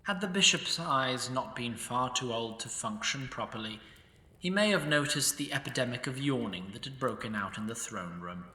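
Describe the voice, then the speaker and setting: Male narrator, dry and wry, very bright voice, distant and echoey. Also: mid-range voice, expressive, normal speed. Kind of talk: scripted comic fantasy narration.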